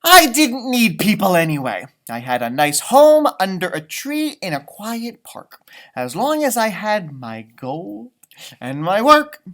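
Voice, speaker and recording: A man's voice: high-pitched, wildly swooping pitch, measured pace, a bit bright, exaggerated voice. A man voicing a small, grumpy, boastful old leprechaun telling his own story in a storybook read-aloud. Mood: content, proud. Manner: feisty, boastful, theatrical storytelling.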